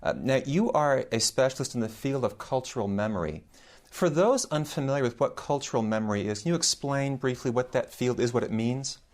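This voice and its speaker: A man's voice: mid-range voice, expressive, fairly quick, darkish tone. A man interviewing a guest on a university radio show, speaking with frequent ums, uhs and restarts. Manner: hesitant, many "uh" and "um" fillers and restarts. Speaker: interviewer, English professor. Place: radio studio.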